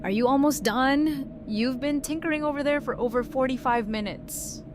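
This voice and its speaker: A woman's voice: high-pitched, normal speed, noisy background, close to an everyday voice. A woman playing a tough, blunt, teasing but loyal friend in an audio drama, with casual, salty delivery. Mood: curious, warmer. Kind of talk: fan audio drama acting.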